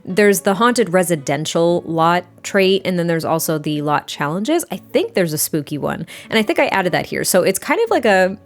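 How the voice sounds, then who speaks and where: A young adult woman with a slightly hoarse, rough voice, chatting casually and rambling through a voiceover, sounding a little tired. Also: fairly low pitch, expressive, normal speed, hardly any pauses. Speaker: Sims speed build creator.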